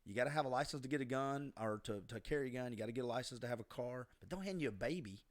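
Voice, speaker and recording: A man's voice: mid-range voice, racing. A middle-aged man on a podcast, sharing life lessons in a reflective, conversational way. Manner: reflective, advice-giving, conversational. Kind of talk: casual podcast chat.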